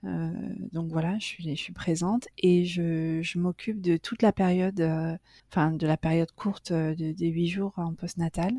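A middle-aged woman talks through a relaxed interview with a low, dark and mellow voice. She chats on freely, stops and restarts often and fills her pauses with small hesitations. The sound is close and dry. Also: no echo. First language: French. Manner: chatty, hesitant with many fillers and restarts, laughs often. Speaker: interview guest. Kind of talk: casual conversational interview.

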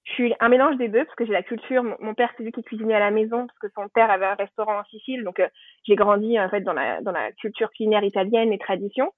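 A woman's voice: mid-range voice, fast talker, no echo. A woman casually telling personal stories in French conversation, chatty and anecdotal, thinking aloud as she goes. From Normandy, France. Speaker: podcast guest. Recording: thin phone-like band, dry.

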